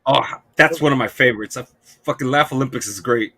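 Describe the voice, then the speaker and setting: A man's voice: medium pitch, quick pace, bright, forceful tone, no room sound, studio quality. A man co-hosting a podcast in laid-back casual chat, slangy and profane. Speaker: podcast co-host.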